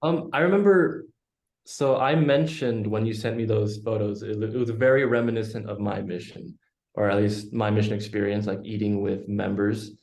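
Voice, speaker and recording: A young man from Utah, a guest on a podcast, answering questions in a thoughtful, measured way. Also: lowish voice, steady tone, a little mellow. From Utah, United States. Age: young adult. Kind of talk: casual interview chat. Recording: echoey room.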